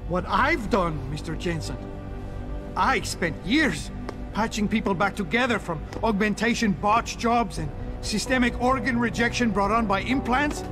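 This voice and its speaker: An actor playing a cornered, despairing doctor, aggrieved and dramatic. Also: male voice, high-pitched, unhurried. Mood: bitter, despairing. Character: bitter, cornered male doctor, self-justifying and despairing. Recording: music behind the voice.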